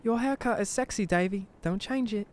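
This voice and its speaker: Guy doing a sweet old english granny neighbour for a story, high voice, coy n pushy, cooing pet names. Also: moderate pace, natural voice. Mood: coy, flirty. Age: 70s.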